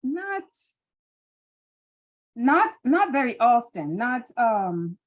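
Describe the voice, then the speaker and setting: A middle-aged woman from Jamaica speaks with a Jamaican accent in a relaxed interview. She answers thoughtfully, pausing and restarting as she gathers her words. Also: fairly high pitch. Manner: thoughtful, hesitant, many restarts and fillers. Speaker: interview guest.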